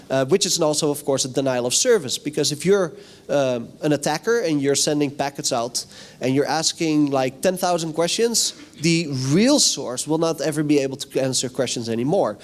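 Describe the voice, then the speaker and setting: A man whose first language is Dutch, giving a casual, chatty technical talk at a conference and putting questions to the audience. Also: fairly high pitch. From Netherlands. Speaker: conference speaker. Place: hotel conference room.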